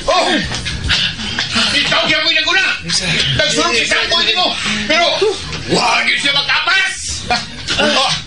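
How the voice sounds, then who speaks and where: A man voicing an excited boy yelling out to his playmates in a Filipino radio drama. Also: very high voice, measured pace, long pauses, full character voice. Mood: excited, urging. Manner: shouting, calling out names. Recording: roomy, distant, loud music behind.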